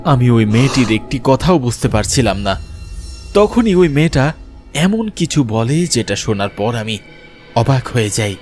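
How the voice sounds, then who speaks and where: A man's voice: medium pitch, big pitch swings, a little slow, a little mellow, faint music behind. A man playing a frightened young man, a betrayed lover telling his horror story in an earnest, dramatic first-person narration. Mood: tense, shaken.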